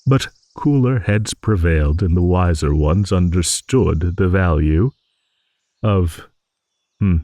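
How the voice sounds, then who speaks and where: A man's voice: low-pitched, gravelly, a little mellow, no echo, clean studio sound, exaggerated voice. A man voicing an ancient, good-natured old dragon who rambles and muses in a fantasy audio roleplay. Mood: musing, fond. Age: older. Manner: rambling, musing, with hums and chuckles.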